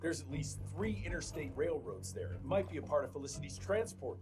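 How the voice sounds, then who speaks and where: Gruff agent hero of a Western game, determined, laconic, clear music underneath. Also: male voice, on the high side, very animated, a little fast. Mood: respectful, firm. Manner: terse, dry. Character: gruff, determined vampire hunter agent, dry and stubborn.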